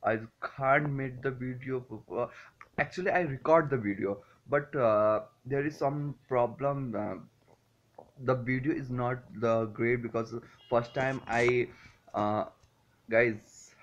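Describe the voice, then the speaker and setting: A young man with a Nepali accent talks through a casual product review. His pace is slow and hesitant, broken up by frequent fillers, and his pitch sits in the middle for a man. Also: muffled recording, slight background noise. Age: 20s. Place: small furnished room indoors.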